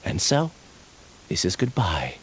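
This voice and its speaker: An actor playing a resigned young man who is part of a hive mind, speaking quietly. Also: low voice, wildly swooping pitch, slow pace, a little hiss or hum, heavily acted.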